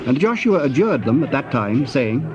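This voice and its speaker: A man's voice: mid-range voice, sing-song, moderate pace. A man narrating dramatized scripture in a solemn, formal storytelling manner. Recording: dull, noisy old cassette tape.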